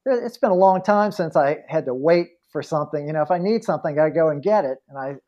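High-voiced male podcast host, slightly dull sound. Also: lively intonation, quick pace. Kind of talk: casual podcast chat.

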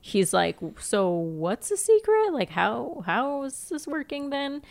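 A woman's voice: high-pitched, sing-song, slow, creaky. A woman co-hosting a podcast, recapping TV in a casual, wry, sweary storytelling way. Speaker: podcast co-host. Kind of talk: casual TV episode recap chat.

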